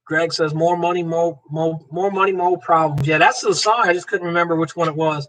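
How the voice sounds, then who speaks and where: Bright-voiced man chatting on a livestream. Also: fairly high pitch, steady tone, a little fast, poor audio. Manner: rambling, joking, chats to viewers by name. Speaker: livestream host. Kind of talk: casual livestream chat reading comments.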